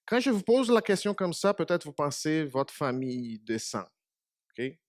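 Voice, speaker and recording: Preacher, male, native Portuguese speaker, slightly high voice, chatty sermon to a few people. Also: very animated, normal speed, no echo, studio quality. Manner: conversational, asks the congregation questions. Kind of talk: short church meditation. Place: small church.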